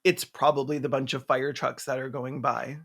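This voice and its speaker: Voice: medium pitch, little pitch movement, moderate pace, gravelly, very noisy. A video host delivering sarcastic scripted commentary in a theatrical, mocking manner. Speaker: host of a comedic film review.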